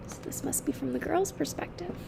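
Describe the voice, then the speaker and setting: High-voiced woman reading aloud to children. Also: a little fast, vocal fry, noisy background. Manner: explanatory asides to young listeners. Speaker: read-aloud host. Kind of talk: children's picture book read-aloud.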